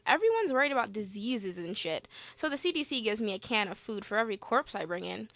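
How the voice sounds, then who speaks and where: A woman's voice: medium pitch, sing-song, fast, fairly bright tone. A woman playing a brash, chatty, foul-mouthed young woman in an audio drama, blunt, casual and slangy. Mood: breezy, blunt. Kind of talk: amateur audio drama acting.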